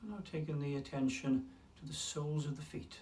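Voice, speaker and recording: A man leading a guided mindfulness meditation in a calm, unhurried way, leaving long pauses between phrases. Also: fairly high pitch. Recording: distant mic with faint room noise.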